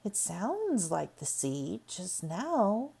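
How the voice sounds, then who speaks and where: A woman's voice: mid-range voice, very animated, very slow, creaky, mellow, breathy edge, exaggerated voice. A woman voicing a small young girl who sounds uneasy and sullen.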